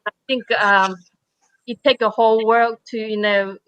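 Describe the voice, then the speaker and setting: Woman painter on a panel, halting english, lots of um and uh, dry muffled sound. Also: a little high, steady tone, a bit bright, no room sound. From Taiwan. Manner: halting, many fillers and restarts, non-native grammar. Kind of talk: panel discussion.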